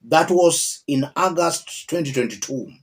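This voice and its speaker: Slow, emphatic Kenyan man with swooping intonation. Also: on the high side, expressive. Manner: emphatic, deliberate, repeats key phrases. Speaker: political commentator, channel host. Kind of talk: political video commentary addressed to viewers.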